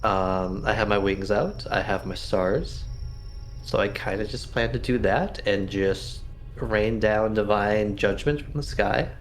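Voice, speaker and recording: A man chatting casually as a player in a tabletop roleplaying game, thinking out loud in a hesitant, musing way. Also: on the low side, unhurried. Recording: music playing faintly behind. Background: background music.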